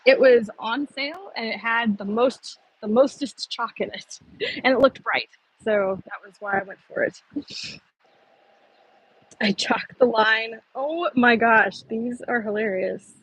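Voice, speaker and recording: A woman's voice: a little high, measured pace, a bit bright, slightly rough, low-quality recording. A woman live-streaming from a phone on a gimbal, chatting casually with her viewers with lots of fillers and giggles. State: a little thirsty. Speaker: livestreamer.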